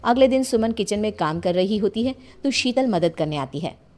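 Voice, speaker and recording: A woman's voice: medium pitch, animated, normal speed, a little mellow, faint music behind. A woman narrating a family story as scripted storytelling.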